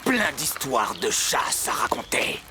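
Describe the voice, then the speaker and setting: A man's voice: medium pitch, very animated, slow pace. A man voicing a sly, smug crocodile father in a cartoon, theatrical and mock-courteous. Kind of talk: French cartoon dub acting. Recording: loud music behind the voice.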